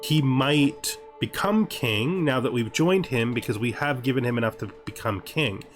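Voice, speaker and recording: A man's voice: medium pitch, animated, normal speed. Guy talking through his strategy game, mid voice, mulls stuff over and repeats himself, music behind him. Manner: deliberative, repeats himself, often checks with "right?". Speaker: gaming host playing a strategy game. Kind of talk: thinking-aloud gameplay commentary, part in character.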